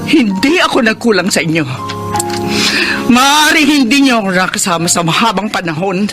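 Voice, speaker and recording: Stern, hurt Filipino mother over loud music, acted by a woman. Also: mid-range voice, expressive, normal speed, natural voice. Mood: hurt, bitter. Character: stern, hurt mother of a rebellious student daughter. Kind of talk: Filipino radio drama acting. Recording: radio drama with loud music underneath.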